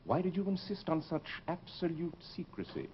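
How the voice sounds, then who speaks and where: An actor playing a firm, polite plastic surgeon in an old-time radio horror drama, speaking in a formal, measured way. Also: male voice, a little high, big pitch swings. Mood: probing, concerned. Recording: muffled, noisy old radio recording.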